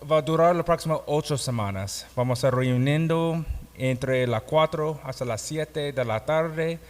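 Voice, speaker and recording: A man with a foreign accent, not a native speaker, teaching a class as a pastor with halting phrasing and grammar slips. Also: on the high side. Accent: foreign, non-native Spanish. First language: not Spanish. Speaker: pastor and Bible course teacher. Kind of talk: classroom lecture, explaining a course. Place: classroom or hall with students present. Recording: echoey hall with long reverb.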